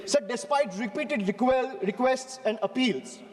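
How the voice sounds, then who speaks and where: A man with an Indian English accent delivers a rehearsed parliamentary speech in a high-pitched voice. He builds his points through repetition and deliberate stresses. His desk microphone carries the long reverb of a large chamber. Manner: oratorical, rhetorical repetition, measured emphasis. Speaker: member of parliament.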